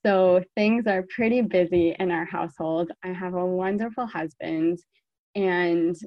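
A woman's voice: on the low side, unhurried, no room sound, muffled recording. A woman in her thirties with an American accent, talking solo to her podcast listeners with an emphatic delivery that repeats words for stress. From born in South Africa, raised in the United States. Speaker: podcast host.

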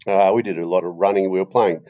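An older man with an Australian accent, rambling haltingly and deadpan as he hosts a comedy podcast chat, on a slightly dull recording. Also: sits low.

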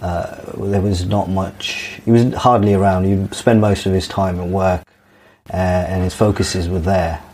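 A man in his thirties who grew up in Dubai, telling his life story as a podcast guest, halting and restarting his sentences often. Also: low-pitched, flat delivery, a little mellow, slight background noise. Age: 30s. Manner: halting, with many restarts and fillers.

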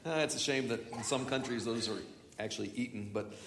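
Joking pastor in an echoey hall. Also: male voice, medium pitch, fairly quick, a crowd in the background. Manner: chatty, joking, with frequent restarts. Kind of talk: sermon. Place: large church hall. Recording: echoey church hall from far off.

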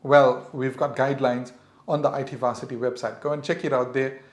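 A man's voice: mid-range voice, level tone, a little fast. Earnest middle-aged South African man, echoey. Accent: South African English. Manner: earnest, advising parents with repetitions. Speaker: podcast host. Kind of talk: reflective podcast monologue.

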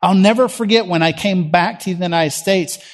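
A middle-aged man with a Southern US accent preaches from a church platform, his voice sitting fairly high for a man. He talks to the congregation the way he would in conversation, asking them questions. He swings from loud emphasis down to quiet. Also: normal speed. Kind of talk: sermon.

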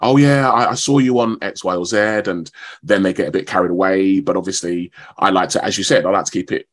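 A man, talking as a guest in a radio interview in a modest, measured, slightly halting way. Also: low voice. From London, England. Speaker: actor, radio show guest.

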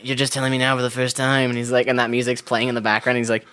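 A man's voice: medium pitch, little pitch movement, very fast, sharp tone, clear, resonant tone. A man co-hosting a podcast in loose, rambling casual chat, swearing and laughing often. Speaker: podcast co-host.

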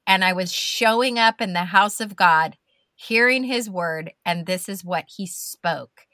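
A woman hosting a faith-based podcast interview, speaking effusively and warmly praising her guest. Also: medium pitch, dry recording, clean studio sound. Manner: effusive, praising, drawn-out phrasing. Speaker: podcast host.

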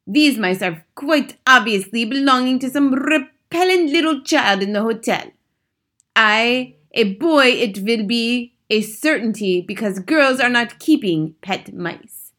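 An imperious storybook witch queen. Her voice is pitched well up and pushed loud. She snaps out menacing orders with gloating authority and stilted grammar. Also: lively intonation, unhurried. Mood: snapping, commanding. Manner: commanding, snapping, shouting, odd foreign-sounding grammar. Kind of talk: audiobook children's story villain.

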